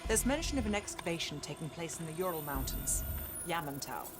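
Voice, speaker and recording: A woman's voice: sits low, sing-song, a little fast, noisy background. A woman playing a composed, precise intelligence analyst in a game cutscene. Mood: businesslike. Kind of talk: dramatic game cutscene acting. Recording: music behind the voice.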